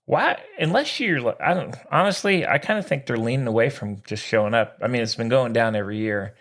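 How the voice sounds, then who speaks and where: A man's voice: medium pitch, a little fast, a bit bright. An American man co-hosting a sports podcast, chatting casually in a slangy, exclamatory, teasing way.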